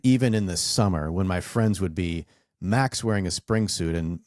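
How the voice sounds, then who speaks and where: A man's voice: deep voice, normal speed, dry recording. A man in his forties hosting a podcast, chatting casually and rambling through stories with plenty of fillers. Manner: relaxed, rambling storyteller with many fillers. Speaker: podcast host. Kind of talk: casual interview chat.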